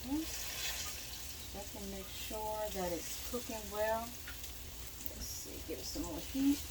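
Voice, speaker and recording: A woman's voice: medium pitch, heavy background noise. A young woman, a cooking vlogger, chatting casually as she fries food in her home kitchen and moves around the stove.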